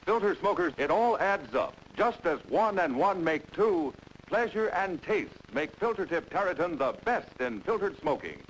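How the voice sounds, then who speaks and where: A man delivers a 1950s commercial. His pitch runs a bit high and swings widely as he sells emphatically. Faint noise sits on the vintage recording. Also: very animated, normal speed, low-quality recording. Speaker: commercial announcer. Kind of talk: scripted 1950s cigarette ad read.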